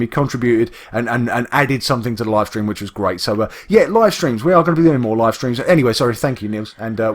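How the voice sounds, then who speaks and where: Chatty East London man, rambling. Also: mid-range voice. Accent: East London English. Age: adult, works full time. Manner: rambling, chatty, with frequent restarts and self-interruptions. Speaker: Minecraft YouTuber. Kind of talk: casual gameplay commentary.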